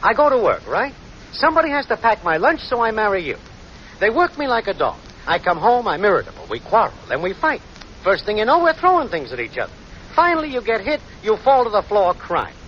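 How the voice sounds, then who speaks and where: An actor playing a lazy, slick small-time schemer boyfriend in a 1940s radio sitcom, slangy, glib and cajoling. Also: male voice, high-pitched, huge pitch swings, fairly quick, a bit bright, heavily acted. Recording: noisy, muffled old radio recording.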